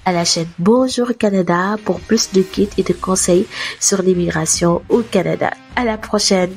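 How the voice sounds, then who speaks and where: A woman's voice: mid-range voice, moderate pace, darkish tone, soft background music. A woman with a cold hosting an advice channel, explaining to her viewers in an informal way, often hesitating and correcting herself. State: has a cold. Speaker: host of an immigration advice channel.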